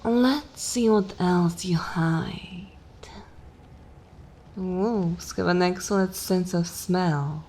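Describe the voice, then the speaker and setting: A woman voicing a dominant, sensual vampire mistress in an ASMR fantasy roleplay, commanding and savouring, often slipping into soft breathy whispers. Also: fairly low pitch, extremely slow, a little hiss or hum. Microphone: close microphone.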